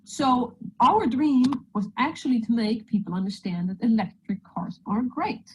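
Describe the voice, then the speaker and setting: A woman's voice: fairly high pitch, animated, normal speed, a little mellow, slight background noise, poor audio. Swedish-accented woman presenting over video call. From Sweden. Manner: friendly, joking, slides through a talk. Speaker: guest presenter. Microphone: video call microphone.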